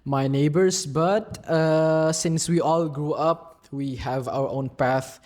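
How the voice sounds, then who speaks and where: A young man from Indonesia chats on a student podcast with a slightly high voice for a man. He is friendly and loose, repeats himself and keeps turning to the listeners. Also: steady tone, slow pace, darkish tone. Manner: friendly, repeats words, addresses listeners as guys. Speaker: podcast co-host. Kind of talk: casual chat.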